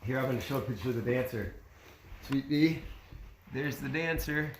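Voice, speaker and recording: A man's voice: mid-range voice, normal speed, a little hiss or hum. Man reading a storybook in an echoey room. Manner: conversational, pointing out pictures to a child. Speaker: father reading a story aloud to his child. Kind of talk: storybook read-aloud with casual asides.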